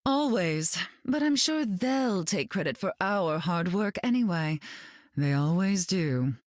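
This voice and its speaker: A vain, icy telepath queen who drawls with smug superiority. Her low voice rises and falls expressively, captured in a dry, close recording. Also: lively intonation, slow pace, no room sound. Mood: smug, haughty.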